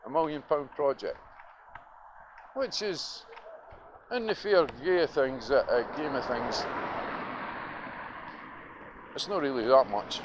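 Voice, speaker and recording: A man's voice: mid-range voice, unhurried, pauses often. A Scottish man muttering a rambling, sweary, casual commentary as he walks along outdoors, filming on a handheld device. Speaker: vlogger. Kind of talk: casual walking commentary, thinking aloud. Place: outdoors on a city street. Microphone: handheld camera or phone held by speaker. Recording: noisy outdoor street with traffic and roadworks.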